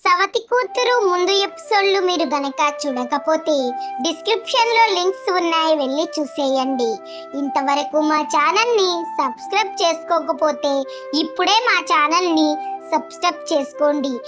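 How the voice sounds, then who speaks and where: A woman's voice: very high voice, moderate pace, music in the background. A woman giving a scripted promotional announcement for a children's story channel. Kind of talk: scripted promotional intro and outro.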